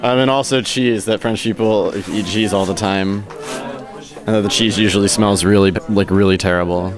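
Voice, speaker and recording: A man's voice: on the low side, normal speed, a crowd in the background. A young man from Montana talking casually with "like" fillers. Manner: relaxed, "like" fillers. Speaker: student.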